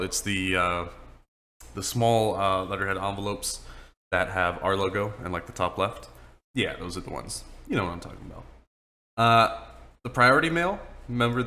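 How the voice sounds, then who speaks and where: Low-voiced man with a crisp, bright edge. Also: moderate pace. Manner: casual, joking, lots of ums and uhs. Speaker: office trainer. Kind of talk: screen-recorded training walkthrough.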